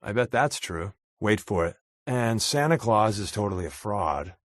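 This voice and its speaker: A man playing a wry, sarcastic spy companion in a video game, with a deadpan, flippant delivery. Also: lowish voice, moderate pace, dry recording, studio quality, natural voice. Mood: dry, sardonic.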